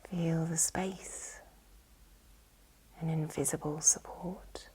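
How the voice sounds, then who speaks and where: A woman with a soft, breathy, whispery voice, soothingly guiding a yoga nidra relaxation with gentle instructions. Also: low-pitched, steady tone, takes it slowly, rough recording. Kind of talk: guided relaxation meditation.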